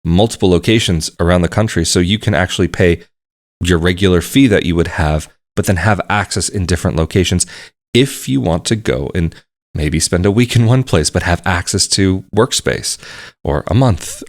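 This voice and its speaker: A man talking casually to the camera, explaining things at a measured pace and repeating phrases for emphasis. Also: low-pitched. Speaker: vlogger.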